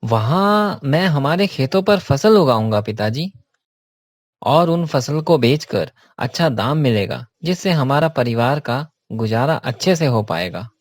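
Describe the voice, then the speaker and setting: A man's voice: medium pitch, animated, unhurried, no room sound, studio quality, natural voice. A man playing an earnest office worker and devoted family man in a cartoon story, explaining himself plainly. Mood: earnest, hopeful.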